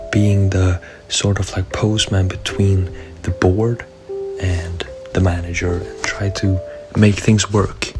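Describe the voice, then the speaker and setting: A young man with a sick, raspy voice talks through his football game recap in a casual, offhand way. His delivery is low and gravelly with little rise and fall, sitting over clear background music. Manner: casual, chatty recap with asides. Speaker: gaming YouTuber narrating career mode.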